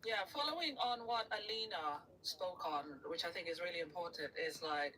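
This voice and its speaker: A man from Uganda with a high, bright, ringing voice talks earnestly on a live stream panel, often restarting his sentences. He sounds set back from a distant microphone. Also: normal speed. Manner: earnest, thoughtful, with frequent restarts. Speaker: panel guest.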